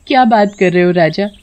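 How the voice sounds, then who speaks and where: A woman's voice: mid-range voice, darkish tone, close to an everyday voice. A woman voicing a vain, mocking young college woman who teases haughtily and laughs often. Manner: haughty, teasing, breaking into laughter.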